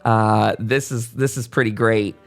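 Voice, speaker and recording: A man's voice: on the low side, fairly even intonation, a little slow, vocal fry, soft background music. A man hosting a casual interview podcast, enthusiastic and affirming as he asks questions. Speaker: podcast host.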